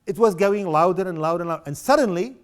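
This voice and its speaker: A man's voice: sits high, expressive, fast talker, clear, resonant tone. A middle-aged man from South Lebanon giving a public lecture in an impassioned, sarcastic way, with rhetorical questions to the audience. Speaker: guest speaker.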